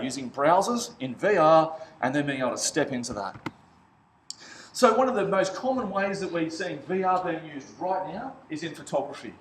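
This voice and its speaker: A man with a loud voice giving an energetic conference talk, often turning questions to the audience in a large hotel function room. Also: on the high side, slight background noise. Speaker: conference speaker. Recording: echoey hall with faint ambience.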